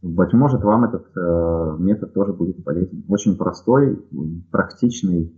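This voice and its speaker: A man from Ukraine answers listener questions on a live radio broadcast. His voice sits very low and comes through a narrow, phone-like line. He talks in a measured way, pausing often with fillers. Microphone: headphones with headset audio.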